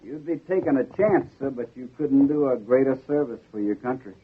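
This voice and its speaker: An actor playing a polite, folksy corporal with a drawl and a sly purpose, in old radio drama style. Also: male voice, medium pitch, lively intonation, a little fast, slightly rough, dry recording. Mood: grave, sly. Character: folksy Southern corporal, secretly a cunning Union spy. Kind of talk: 1940s-50s radio drama acting. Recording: muffled narrow-band old radio recording.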